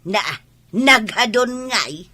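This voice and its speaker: A woman playing an excitable village gossip with an Ilocano Filipino accent, exclaiming and drawing out her words in a comic radio drama. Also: a little high, slow pace, full character voice. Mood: boastful.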